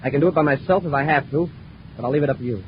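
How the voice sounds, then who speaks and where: A man's voice: fairly high pitch, very fast, close to an everyday voice. A man playing an eager, brave young American space cadet in a vintage radio adventure serial. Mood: determined. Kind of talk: 1950s radio serial acting. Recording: muffled noisy old radio recording.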